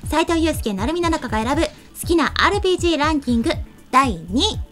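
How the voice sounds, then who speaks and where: High-pitched, animated woman co-host. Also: quick pace, over music. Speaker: co-host of a game talk show. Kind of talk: casual show talk about favourite games. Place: small streaming studio, indoors. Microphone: studio mic on a table stand.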